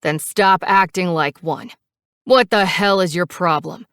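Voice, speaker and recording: A woman's voice: low-pitched, steady tone, unhurried, no room sound, studio quality. Woman acting angry, domineering male biker. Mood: furious. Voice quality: gravelly roar when angered. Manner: confrontational, hammering questions.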